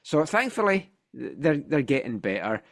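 A man's voice: medium pitch, very animated, moderate pace, a bit bright. A Scottish man hosting a football podcast in casual chat, telling things with wry animation, slightly congested from a sinus infection. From Scotland.

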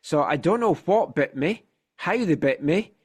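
A man's voice: a little high, lively intonation, unhurried, husky. A Scottish man hosting a football podcast in casual chat, telling things with wry animation, slightly congested from a sinus infection. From Scotland.

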